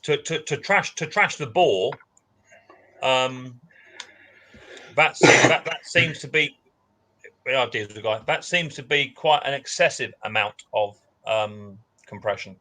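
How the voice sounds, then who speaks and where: Bright chatty man hosting a stream. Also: medium pitch, slow. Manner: chatty, teasing, banter-heavy. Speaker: livestream host. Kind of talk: casual livestream chat.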